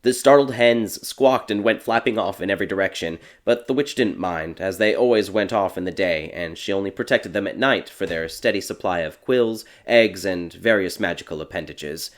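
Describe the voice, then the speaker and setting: A man narrating a dark fairy tale audiobook in a measured, theatrical storytelling manner. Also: low voice.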